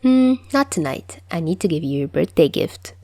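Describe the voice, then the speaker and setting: A woman's voice: lowish voice, sing-song, normal speed, dark tone, no room sound. A woman voicing a playful, teasing but caring teenage boy in a story reading.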